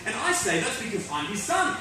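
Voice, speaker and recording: A man's voice: high-pitched, wildly swooping pitch, a little fast. A man playing a fallen self-help guru in a theatrical monologue, intense, volatile, sardonic and profane, with a confessional bitterness. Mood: angry, agitated. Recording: echoey room, distant mic, music behind.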